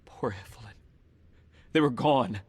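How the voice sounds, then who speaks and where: A man playing an anxious, guilt-ridden family man in a video game, husky and stammering as he pleads. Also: mid-range voice, a little slow, a little mellow. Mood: shaken. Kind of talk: video game cutscene acting.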